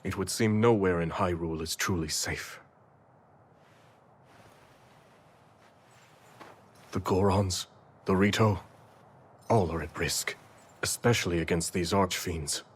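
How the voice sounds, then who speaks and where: An actor playing a noble, resolute king in a game cutscene, speaking in a formal, measured way. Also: sits low, breathy edge. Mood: grave, resolute. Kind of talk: video game cutscene acting.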